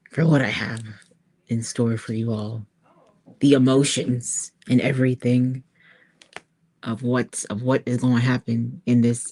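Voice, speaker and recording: Low-voiced woman chatting casually, thinking aloud. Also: a little mellow, husky. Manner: informal, halting, thinking aloud. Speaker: author-artist hosting a creative livestream. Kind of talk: casual chat to viewers while working on art.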